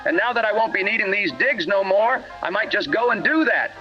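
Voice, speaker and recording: A swaggering, violent mama's-boy thug in a parody radio play. The voice sits high for a man, full of crude boasts and threats. It comes through muffled and narrow, with faint music underneath. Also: expressive, a little fast. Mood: menacing, boastful. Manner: bragging, menacing, crude. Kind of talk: parody radio drama acting.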